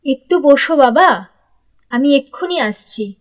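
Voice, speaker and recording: A woman's voice: high voice, lively intonation, takes it slowly. A woman playing a kindly, coaxing middle-aged mother in an audio drama. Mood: gentle coaxing.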